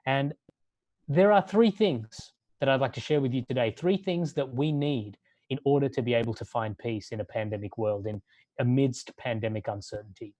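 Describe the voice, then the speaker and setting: A man who grew up in Australia, giving a sermon-like talk in a measured way and building long lists for emphasis. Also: mid-range voice, darkish tone, dry recording.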